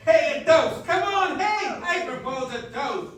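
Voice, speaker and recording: A small-town man in a stage radio play, acted by a man, with a very high voice for a male. He is heard at a distance in an echoing hall, with faint noise. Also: expressive, a little slow, fairly bright tone, full character voice. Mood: hearty.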